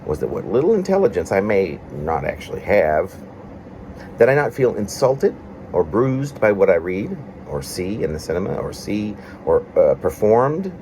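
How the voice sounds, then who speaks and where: A man reading his own reflective, personal writing in a meandering, halting way, with pauses between phrases. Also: sits low, darkish tone, slight background noise. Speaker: poet reading own work.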